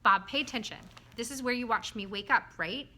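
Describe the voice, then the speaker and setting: A woman's voice: a little high, a little fast, pushed and bright, slight background noise, pitched above the performer's own voice. A woman playing a curious, caring and somewhat bossy young girl in a children's story, direct and coaxing. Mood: eager, insistent.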